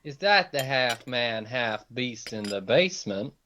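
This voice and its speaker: A man's voice: mid-range voice, lively intonation, unhurried, sharp tone, slight background noise. A man playing a cheeky, sarcastic teenage son in an amateur radio drama. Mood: wry.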